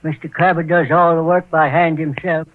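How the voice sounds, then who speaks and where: An actor playing an anxious, deferential elderly bookkeeper with hesitant, stammering speech in a 1940s radio drama. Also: male voice, on the high side, little pitch movement, normal speed, slight background noise, close to an everyday voice. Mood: timid, explanatory. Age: older. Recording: tinny, muffled old radio recording.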